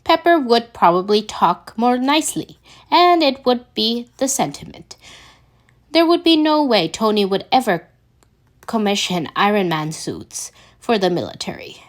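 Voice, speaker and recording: A woman's voice: a little high, very animated, unhurried. A woman reading a story aloud as an amateur narrator, putting some expression into it. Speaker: amateur fan fiction reader.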